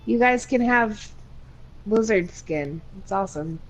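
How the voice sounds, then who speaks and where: A woman's voice: medium pitch, expressive, unhurried, lo-fi sound. Woman co-host, casual chat, laughs easily, takes her time. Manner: giggly, trails off with "like". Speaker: podcast co-host.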